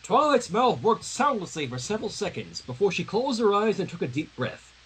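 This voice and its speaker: A man reading fan fiction aloud on a livestream in an expressive, dramatic way, with casual asides. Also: high voice, a little fast, breathy edge, low-quality recording. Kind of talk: animated story narration on a livestream.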